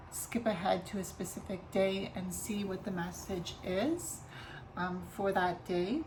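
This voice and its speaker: A woman with a slightly raspy voice doing a casual tarot reading vlog, talking softly and unhurriedly with many ums and restarts. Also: mid-range voice, slight background noise, poor audio. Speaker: tarot reader.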